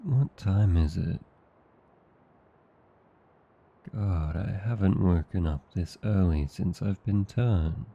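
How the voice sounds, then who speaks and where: A man with an Australian accent playing an affectionate, drowsy vampire husband in an intimate ASMR roleplay, murmuring softly and tenderly. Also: measured pace, dull audio, full character voice. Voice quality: low, gravelly, husky. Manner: languid, soft, tender murmuring.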